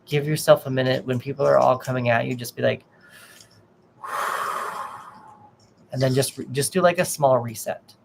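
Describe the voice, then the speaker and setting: Gravelly man giving a tarot reading. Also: mid-range voice, fairly even intonation, a little slow, long pauses, a little hiss or hum, poor audio. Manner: gentle, reassuring, lots of restarts. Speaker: tarot reader. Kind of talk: live tarot reading, casual advice.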